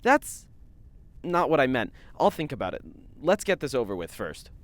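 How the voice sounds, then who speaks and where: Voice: mid-range voice, very animated, normal speed, dry recording, natural voice. An actor playing a sardonic, defensive human mutant in a science fiction audio drama, with a wry manner. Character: sardonic glowing three-eyed human mutant, defensive, named Tobias.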